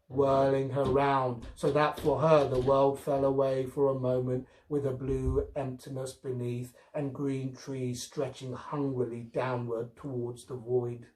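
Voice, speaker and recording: A man reads a story aloud in a medium-pitched voice. He takes his time, shaping each phrase deliberately and dramatically, and the room adds a clear echo around his words. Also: a little mellow. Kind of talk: expressive scripted story narration. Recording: roomy, echoing sound.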